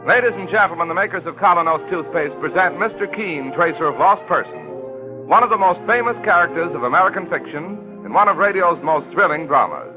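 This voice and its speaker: A man's voice: a little high, animated, brisk. A man announcing a 1940s radio program in a scripted, formal and theatrical style. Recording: dull 1940s radio recording. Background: music.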